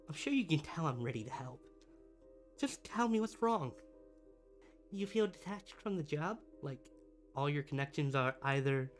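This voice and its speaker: A man playing a needy but kind-hearted supervillain in a roleplay ASMR monologue, speaking to the listener in a dramatic, earnest, halting way. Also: a little high. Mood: hurt, worried. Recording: music behind the voice. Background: background music.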